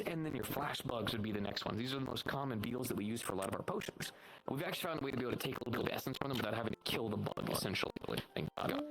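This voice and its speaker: A man's voice: medium pitch, gravelly, a bit bright, poor audio. A man roleplaying a knowledgeable insect keeper at a fantasy hunters' guild, explaining patiently and informatively in an improvised style. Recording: faint music behind the voice. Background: faint music.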